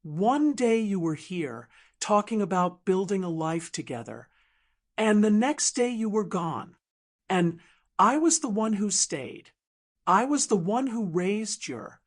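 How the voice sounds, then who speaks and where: A woman voicing a stubborn, wounded woman in her sixties in an audiobook drama, sharp, sardonic and blunt. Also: medium pitch, big pitch swings, slow. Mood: bitter, wounded. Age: 60s. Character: stubborn, wounded older small-town woman, bitter and sardonic.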